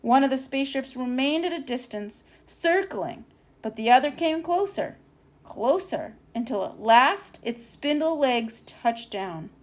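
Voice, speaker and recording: High-voiced woman reading a storybook aloud. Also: animated, measured pace. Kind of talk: expressive children's storybook read-aloud.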